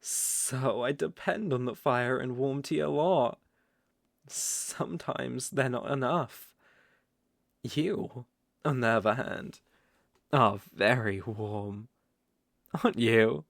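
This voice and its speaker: Slow, gravelly, smooth-talking male naga played by a man. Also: medium pitch, dry recording, studio quality. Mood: smug, coaxing. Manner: slow, coaxing, teasing with soft chuckles. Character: smooth-talking male naga monster, coaxing, possessive predator. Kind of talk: roleplay ASMR audio drama.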